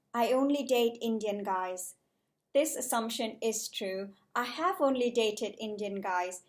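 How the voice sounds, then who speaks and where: A young woman from Malaysia speaks straight to her viewers in a vlog, her voice sitting a little high. She talks in a measured, careful way, as if working through prepared notes. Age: 20s. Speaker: vlogger, junior doctor. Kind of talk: scripted-sounding casual vlog talk to camera.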